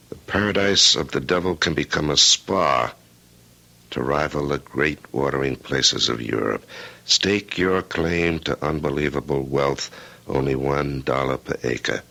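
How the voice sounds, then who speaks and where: An actor playing a gruff, wry, probing private investigator with a gravelly voice in an old-time radio drama. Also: male voice, a bass voice, pushed and bright, heavily acted. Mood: musing.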